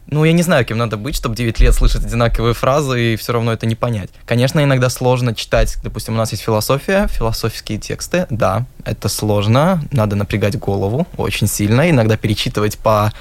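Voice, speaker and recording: Teen boy from riga, russian native speaker, self-assured, mid-low voice, dry studio sound. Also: fast talker, hardly any pauses, no echo. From Riga, Latvia. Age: teens. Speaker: guest, schoolboy. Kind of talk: interview answers.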